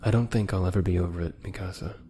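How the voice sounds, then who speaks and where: A man's voice: low-pitched, fairly even intonation, fast talker, warm tone, lightly acted. A man playing a bitter, grieving young cadet in a fan anime drama, brooding and heavy-hearted as he speaks. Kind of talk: fan dub anime parody acting. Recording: faint background noise.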